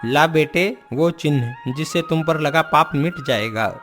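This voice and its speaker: A man's voice: a little high, a little slow, fairly bright tone, soft background music. A man playing a poor, humble old father in a cartoon moral story, speaking in a tender, apologetic way. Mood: tender, sorrowful.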